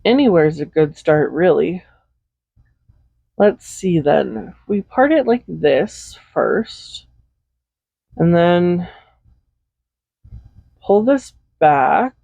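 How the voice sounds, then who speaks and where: A woman playing a doting, possessive villain in an intimate roleplay audio, speaking softly and without hurry in a gently teasing manner. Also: on the low side, expressive, creaky, no echo, heavily acted. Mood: curious, fond. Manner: soft-spoken, unhurried, gently teasing. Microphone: Blue Yeti USB microphone, close. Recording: slightly dull home microphone recording.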